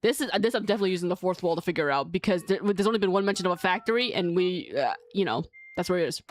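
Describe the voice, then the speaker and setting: A woman giving chatty, animated commentary on a video game as she plays, talking back to it and reading bits aloud. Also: fairly low pitch, very fast. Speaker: host of a video game let's play.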